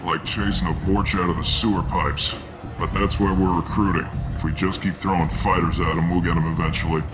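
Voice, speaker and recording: Man acting a very deep-voiced, brusque mercenary. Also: little pitch movement, brisk, natural voice. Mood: dismissive. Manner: gruff, matter-of-fact. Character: hard-bitten, brusque male mercenary. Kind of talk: video game voice acting. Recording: music behind.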